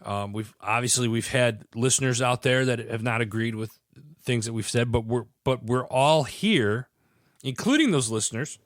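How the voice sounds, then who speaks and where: A man's voice: mid-range voice, moderate pace, no echo, studio quality. A man hosting a casual podcast chat, stuffy with a head cold, talking earnestly and rambling with frequent restarts. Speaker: podcast host.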